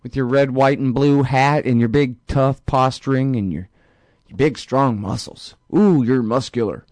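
A man's voice: mid-range voice, a little slow, darkish tone, no room sound, natural voice. A man voicing an imagined foreign politician who sarcastically taunts and mocks. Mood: mocking.

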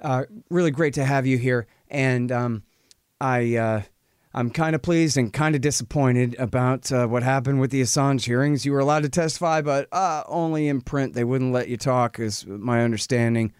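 A man hosting a casual podcast interview, speaking in a relaxed, folksy drawl filled with uh's. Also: mid-range voice, normal speed, clean tone, no echo, clean studio sound. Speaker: interview host.

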